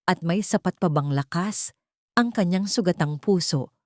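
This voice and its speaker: A Filipino woman narrates a scripted drama in a fairly low voice. The recording is dry and close, with very little room sound. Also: lively intonation, normal speed, dry recording.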